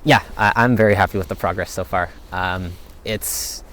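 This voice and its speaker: A young man talks in a loose, meandering way, answering questions off the cuff. His voice sits fairly low and relaxed. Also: moderate pace. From United States. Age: young adult. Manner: laid-back, rambling, thinks aloud. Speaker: vlogger answering viewer questions. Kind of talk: casual Q&A chat.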